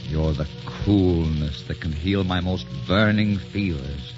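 An actor playing a tormented, adoring poet husband in an impassioned, melodramatic 1940s radio romance. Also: male voice, low voice, slow. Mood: adoring, haunted. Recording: muffled 1940s radio broadcast, music behind.

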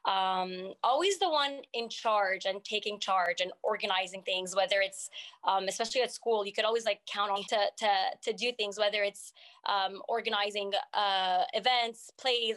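A woman's voice: medium pitch, a bit bright. Young montreal woman, french canadian accent, french is her first language, chatty guest with lots of ums. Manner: chatty, many ums and restarts. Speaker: guest entrepreneur. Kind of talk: casual interview chat.

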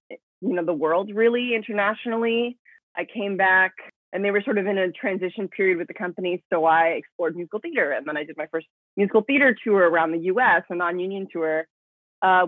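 A woman's voice: medium pitch, no echo. Young woman on a tinny, phone-like line. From United States, grew up in Miami. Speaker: podcast guest, professional dancer. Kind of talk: conversational interview answers. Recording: tinny, phone-like remote call.

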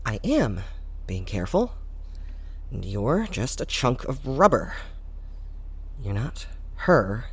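A frustrated scientist in an audio drama, low and husky. The delivery swings widely in pitch, halting and muttered. The figure is self-critical and exasperated by failing experiments. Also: female voice, a bit bright, exaggerated voice. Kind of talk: naturalistic audio drama acting.

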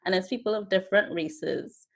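A young woman in her twenties with a Trinidadian accent, telling her story as an artist guest in an unhurried, thoughtful way. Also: medium pitch. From Trinidad and Tobago. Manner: relaxed, thoughtful storytelling with fillers. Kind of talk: casual interview.

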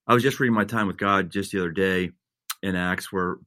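Pastor guest, male, low and bright tone, dry close sound, preachy build-ups. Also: fairly even intonation, fairly quick, dry recording. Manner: builds to emphatic, repetitive preaching cadence. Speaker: senior pastor, guest author. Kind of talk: conversational interview, earnest and preachy.

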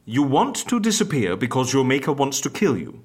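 A woman's voice: very deep voice, sing-song, a little fast, darkish tone, breathy edge, heavily acted. A woman playing a sceptical, exasperated agency interviewer in an audio comedy, with a dry, questioning and impatient manner. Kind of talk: scripted audio comedy drama.

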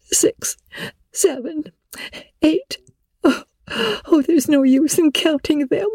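A woman plays a dreamy, homesick teenage girl from a classic storybook. Her delivery is slow and dramatic, full of wistful exclamations. The voice is soft and mellow. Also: sits high, lively intonation, creaky, no echo, clean studio sound, voice pushed up, heavily acted. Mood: tearful, despairing.

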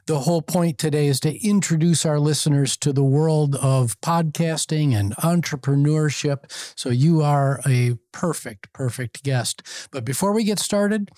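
A man's voice: a little high, a little mellow, no echo, clean studio sound. A man hosting a podcast, reading a polished scripted intro and asking interview questions in a friendly way. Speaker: podcast host. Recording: music bed underneath.

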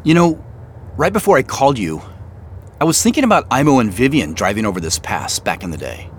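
Actor as animated, eager younger brother. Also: male voice, mid-range voice, a little fast, lightly acted. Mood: musing, eager. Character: excited younger brother on a treasure hunt. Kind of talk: audio drama dialogue, naturalistic phone call. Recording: faint vehicle noise behind. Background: car driving.

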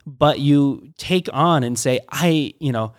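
A man's voice: mid-range voice, no room sound, clean studio sound. A middle-aged man, a pastor, talking through ideas in a casual teaching conversation, with frequent restarts and stammers. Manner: halting, many restarts and stammers.

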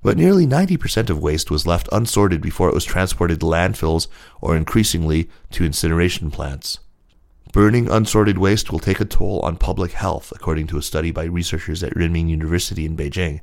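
A man hosting a news podcast, reading scripted stories in a measured narrating style. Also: low-pitched, expressive, gravelly, darkish tone. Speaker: podcast host and news reader.

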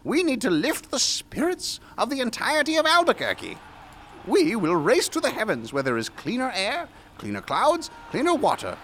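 An actor playing a pompous, oblivious mad scientist in an audio drama, speaking in theatrical, drawn-out phrases. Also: male voice, extremely high pitch, wildly swooping pitch, fairly bright tone, a little hiss or hum, full character voice. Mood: grandiose. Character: grandiose, oblivious mad scientist, male, giddy with his schemes.

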